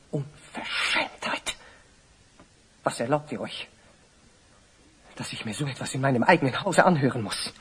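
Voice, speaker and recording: An actor playing a stern, pompous village priest with a haughty, formal delivery in a children's audio drama. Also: male voice, sits high, fairly bright tone, breathy edge, full character voice.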